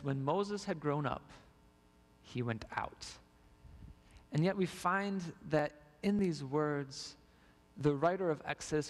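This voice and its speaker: Young man preaching in a reverberant sanctuary. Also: fairly high pitch. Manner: storytelling, walks the stage while preaching. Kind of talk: sermon. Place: large church sanctuary stage. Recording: long reverb of a church hall.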